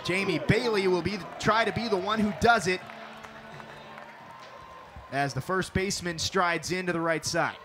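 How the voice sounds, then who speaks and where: A young man calling a televised softball game as play-by-play announcer, with a crisp broadcast delivery that lifts for big plays. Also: high-pitched, normal speed, pauses often, over music, crowd noise. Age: young adult. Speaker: sports reporter and play-by-play announcer.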